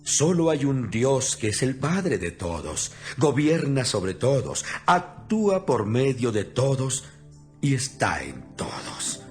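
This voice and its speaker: A man's voice: medium pitch, very animated, takes it slowly, a little mellow. A man playing an imprisoned apostle in a dramatized scripture reading, speaking solemnly and earnestly with emphasis. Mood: earnest exhortation. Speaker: Bible narrator. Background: music.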